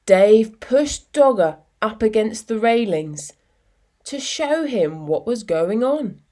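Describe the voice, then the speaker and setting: Very slow-paced woman reading a children's story. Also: a little high. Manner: expressive, deliberate storytelling with dramatic pauses. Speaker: storytime reader. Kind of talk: children's picture book read-aloud.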